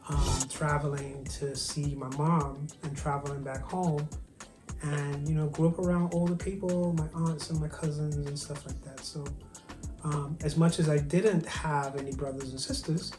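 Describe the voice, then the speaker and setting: Barbadian man, flat-toned guest chef on a video call, roomy room with clear music behind. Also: on the high side, normal speed. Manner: soft-spoken, hesitant, many ums and restarts. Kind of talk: virtual interview, casual storytelling.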